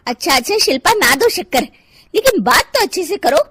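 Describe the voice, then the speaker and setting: Woman doing a calm polite neighbor lady, high voice, pretty lively. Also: fairly quick, no echo, clean studio sound, exaggerated voice. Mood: pleading.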